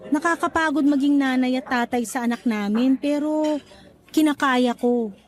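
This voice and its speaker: A woman's voice: sits high, moderate pace, lightly acted. A woman with a Filipino accent playing a weary, feisty young single mother in a radio drama. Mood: weary, guarded. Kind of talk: Tagalog radio drama acting.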